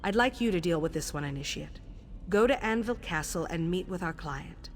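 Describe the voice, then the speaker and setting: A woman voicing a composed, sardonic assassin guild superior in a video game, speaking in a measured, dryly wry, commanding way. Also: fairly low pitch, expressive, soft background music. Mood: measured, businesslike. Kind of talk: scripted video game quest dialogue.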